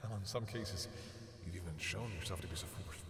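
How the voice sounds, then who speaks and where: A man playing a protective, anguished vampire devoted to a human partner, in an intimate dramatic audio roleplay, with a breathy, brooding yet tender delivery. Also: low-pitched, brisk, a bit bright. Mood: anxious, pleading. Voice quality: husky, breathy.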